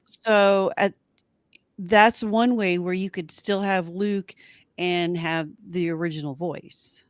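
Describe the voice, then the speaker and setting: Woman, slightly low pitch, podcast co-host, casual discussion, dry acoustics. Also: fairly even intonation, slow pace, no echo. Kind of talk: casual fan discussion on a live show.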